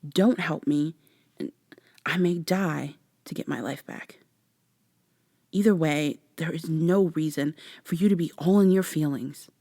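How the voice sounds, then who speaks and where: A woman playing a guarded, sardonic human woman in a vampire ASMR roleplay, speaking close and breathy, with sarcastic, wary delivery that slips into whispers. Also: sits low, measured pace, warm tone, dry recording. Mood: defiant, cold.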